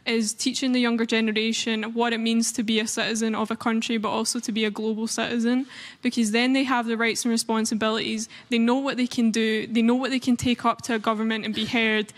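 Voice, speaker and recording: Young Scottish woman, panel speaker, fairly high voice, earnest and filler-heavy, in a long reverberant hall. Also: level tone, quick pace. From Scotland. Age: young adult. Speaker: panel speaker, youth activist. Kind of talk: panel discussion remarks.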